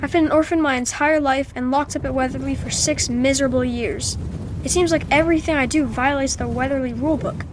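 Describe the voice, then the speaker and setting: A woman's voice: sits high, fairly even intonation, a little fast, background noise, natural voice. A woman voicing a twelve-year-old orphan boy in a radio play, nervous and defiant by turns. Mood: resolute. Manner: nervous, hesitant replies.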